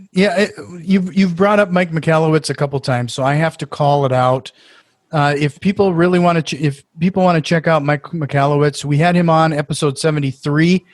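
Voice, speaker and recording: A man hosting a podcast in casual chat, talking in quick restarts with filler words and eager reactions. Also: on the high side, no echo, studio quality. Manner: friendly, stumbling restarts and "uh", enthusiastic reactions. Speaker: podcast host.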